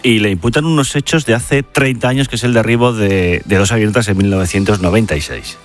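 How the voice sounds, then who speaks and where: A man's voice: on the low side, quick pace, faint music behind. A man with an accent from Spain hosting a radio show and commenting conversationally on the news. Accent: Spanish, from Spain.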